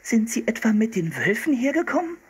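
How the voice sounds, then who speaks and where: A man voicing an excitable, curious young girl in a children's storybook. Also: extremely high pitch, normal speed, breathy edge, pitched above the performer's own voice, exaggerated voice. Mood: frightened, incredulous.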